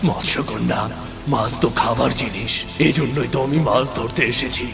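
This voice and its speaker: A man's voice: medium pitch, moderate pace, gravelly, lightly acted. A man playing a cursed young prince turned talking fish, pleading and regretful, in a children's moral-story cartoon. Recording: music behind the voice.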